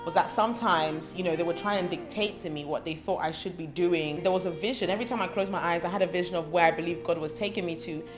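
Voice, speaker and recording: Gospel singer, thirties, from England; low, unhurried and thoughtful, lots of ums; clear music bed behind. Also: female voice. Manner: thoughtful, long lists with frequent ums. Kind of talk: interview monologue about her life. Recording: music playing under her voice. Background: background music.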